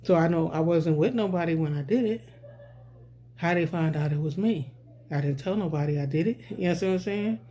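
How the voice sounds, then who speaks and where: Man, gravelly voice, dark tone, rambling casual commentary vlog. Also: a little high, brisk. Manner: rambling, repeats "you know what I'm saying". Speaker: vlogger. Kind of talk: casual social commentary monologue.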